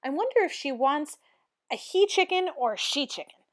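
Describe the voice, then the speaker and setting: A woman's voice: sits high, expressive, moderate pace, pitched above the performer's own voice. A woman voicing a cheerful, naive, earnest housemaid in a storybook, with a folksy, exclaiming manner. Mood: musing, puzzled.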